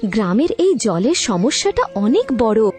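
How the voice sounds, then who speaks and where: High, swooping earnest young woman played by an actress. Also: very animated, a little slow, a little mellow, faint music behind. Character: good-hearted young woman, earnest, pleading, coerced. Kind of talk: cartoon fairy-tale voice acting.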